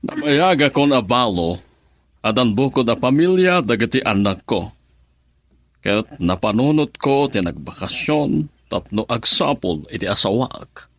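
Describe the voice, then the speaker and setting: A man's voice: medium pitch, big pitch swings, measured pace, a bit bright, full character voice. A man playing a polite elderly widower in a radio drama, speaking earnestly with an Ilocano accent.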